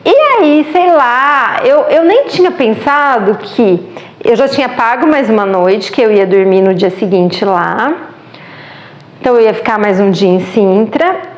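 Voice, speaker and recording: A woman's voice: on the high side, animated, unhurried, echoey room, lo-fi sound. A Brazilian woman with a Brazilian Portuguese accent, chatting casually and with animation as she tells a travel story, laughing often. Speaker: travel vlogger.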